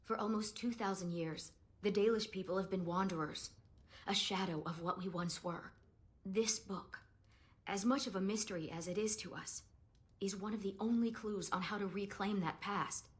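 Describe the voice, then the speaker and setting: A woman's voice: mid-range voice, normal speed, natural voice. An actress playing a proud, guarded young elven woman in a fantasy game, speaking earnestly and formally. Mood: earnest, solemn. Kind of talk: scripted fantasy game dialogue.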